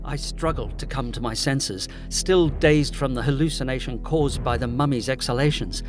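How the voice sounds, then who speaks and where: An actor playing an uneasy, impressionable gentleman, giving brooding first-person narration in a gothic audio drama. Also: mid-range voice, moderate pace, music in the background, natural voice. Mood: haunted, brooding. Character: uneasy, impressionable gentleman recounting eerie nights.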